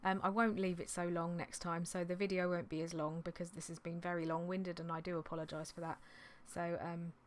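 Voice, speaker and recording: A woman in her thirties, slightly hoarse from losing her voice, chatting in a rambling, apologetic way on a vlog, recorded in a small indoor craft room on a desktop mic. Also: lowish voice, level tone, fairly quick, hardly any pauses. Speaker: clothing reseller vlogger. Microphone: desktop condenser mic on an arm.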